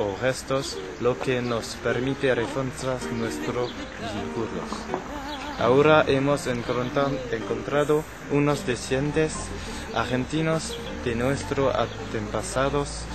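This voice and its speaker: Man, reader, slow and stumbling, average pitch, strong music bed. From French Basque Country. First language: French. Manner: halting, restarts mid-word. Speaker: personal testimony narrator. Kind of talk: reading a prepared personal statement. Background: loud music.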